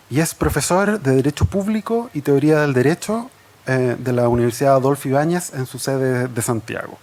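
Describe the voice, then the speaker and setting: A man moderates a university seminar, reading out the speakers' credentials in a halting way with many fillers. His delivery is measured and formal. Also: medium pitch, a little mellow. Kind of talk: introducing speakers.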